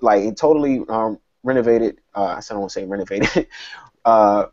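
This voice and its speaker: A man's voice: on the low side, brisk, a little mellow, muffled recording. A man on a tech podcast panel, talking casually and explaining his points emphatically with examples.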